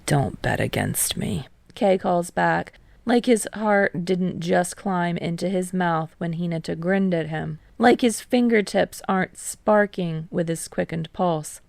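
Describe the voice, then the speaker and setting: A woman's voice: on the low side, fairly even intonation, a little slow, gravelly, darkish tone, no room sound. A woman narrating a scripted piece of fiction as an amateur audiobook reader.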